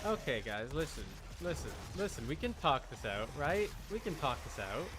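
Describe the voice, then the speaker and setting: A man's voice: fairly high pitch, very animated, moderate pace, background noise. A man chatting casually on a gaming livestream, rambling and emphatic as he corrects himself, with faint game sound behind him. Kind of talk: casual gaming stream chat. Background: video game sound.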